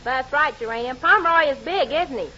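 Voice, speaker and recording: A woman's voice: sits high, lively intonation, a little fast, pushed and bright. An actress playing an eager, naive, folksy country woman in a 1940s radio comedy. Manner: folksy, exclaiming, plain-spoken. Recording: muffled, noisy old radio recording.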